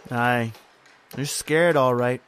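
A man acts out a grim overseer in an old-time radio horror tale. His speech is folksy and bitter, with a taunting edge, and sits at an ordinary male pitch. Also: takes it slowly, fairly bright tone, smooth. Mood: mocking. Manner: folksy, bitter, uses "aye" and "ain't". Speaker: actor in audio horror drama.

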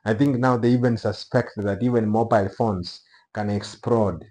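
A middle-aged man with a Kenyan accent giving unscripted news commentary to camera, speaking haltingly in short phrases. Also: lowish voice, steady tone, a little mellow. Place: small office room, indoors.

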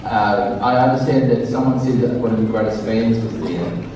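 A man's voice: medium pitch, fairly even intonation, fairly quick, noisy background. A middle-aged man moderating a stage interview, hesitant and admittedly nervous, using a handheld mic. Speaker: panel moderator. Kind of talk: interview questions. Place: large convention hall stage. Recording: echoey hall from far off.

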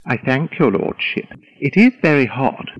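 An actor playing a foppish, flattering courtier in a Shakespearean audio drama, with a mannered, flowery, deferential delivery. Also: male voice, mid-range voice, moderate pace, no echo, highs cut off. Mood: obsequious. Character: foppish, flattering young male courtier, wordy and eager.

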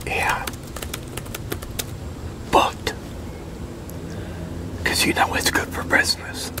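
A man in his sixties in a whispered ASMR roleplay as an easygoing wrestling promoter, talking haltingly as if in a one-sided conversation. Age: 60s. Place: open-sided concrete pavilion outdoors, at a metal picnic table.

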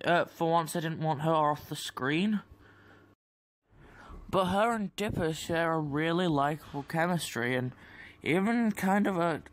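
A young adult man giving countdown review commentary, with hesitant, halting phrasing. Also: fairly high pitch, fairly bright tone. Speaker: video maker reviewing cartoons. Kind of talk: top ten countdown commentary.

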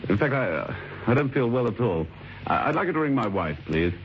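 An actor playing an outwardly polite, calculating middle-aged husband in an old radio drama, speaking haltingly with small stammers. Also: male voice, mid-range voice, big pitch swings. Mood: feigning illness. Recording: dull, hissy old radio recording.

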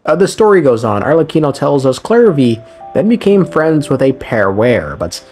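Male creator, irreverent and profane, animated intonation, casual recap commentary over a music bed. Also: mid-range voice, moderate pace. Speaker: video creator recapping a game story. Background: background music.

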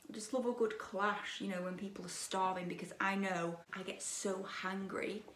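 A young woman in her twenties chatting while she does her makeup at a dressing table, gossipy and opinionated, her voice a bit croaky. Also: medium pitch, little pitch movement, normal speed, fairly bright tone, roomy sound, recorded from a distance, a little hiss or hum. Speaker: beauty vlogger. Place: bedroom dressing table with lit mirror, indoors.

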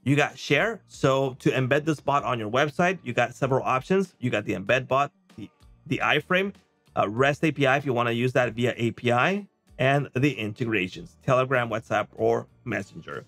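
A man's voice: mid-range voice, lively intonation, moderate pace, fairly bright tone. Man giving a casual tutorial over music. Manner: conversational, often checks in with okay and right. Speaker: software tutorial host. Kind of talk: casual screen-share walkthrough.

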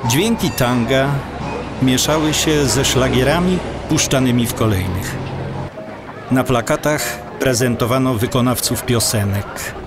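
Casting: male storyteller for an audio drama, mid-range voice, very unhurried, measured and descriptive. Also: mid-range voice, loud music behind the voice. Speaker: narrator. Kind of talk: scripted audiobook drama narration.

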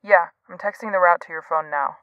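A woman's voice: lowish voice, brisk, no echo, muffled recording, pitched below the performer's own voice, close to an everyday voice. A woman voicing a matter-of-fact female tech accomplice who gives remote guidance in an audio roleplay drama. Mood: businesslike.

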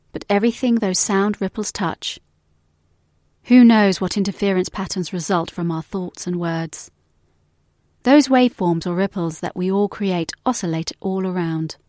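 Female guest reader, medium pitch, measured contemplative book reading, very dry recording. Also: darkish tone, no echo. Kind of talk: reflective scripted reading.

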